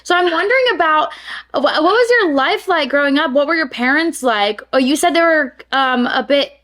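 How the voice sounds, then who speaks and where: A woman's voice: high-pitched, expressive, normal speed, fairly bright tone. A woman hosting a podcast interview, affirming her guest and asking open, curious questions. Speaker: podcast host. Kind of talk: conversational interview.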